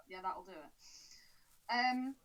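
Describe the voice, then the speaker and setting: A woman chatting casually as a podcast co-host. Her voice sits a little on the high side, and her manner is sarcastic and blunt, with plenty of swearing and laughter. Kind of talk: casual chat.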